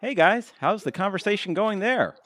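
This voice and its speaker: A man's voice: high voice, expressive, moderate pace, fairly bright tone. A man playing an eager, boyishly proud middle-aged husband in a comedic audio play. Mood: eager, pleased.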